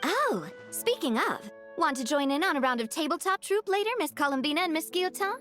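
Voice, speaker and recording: Bubbly young enthusiast in a video game: high, animated, giggly and eager. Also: female voice, fairly quick, faint music behind. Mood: excited.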